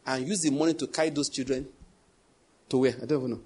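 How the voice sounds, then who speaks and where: A man's voice: mid-range voice, fairly even intonation, fast talker, a little mellow, breathy edge. A young adult man preaching a sermon, emphatic, repeating key phrases and putting questions to the congregation. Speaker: pastor.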